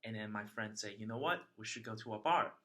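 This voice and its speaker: A young man from China telling a travel story in casual chat, chatty and halting with many fillers. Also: fairly low pitch, fairly bright tone. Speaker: interviewee sharing a travel story.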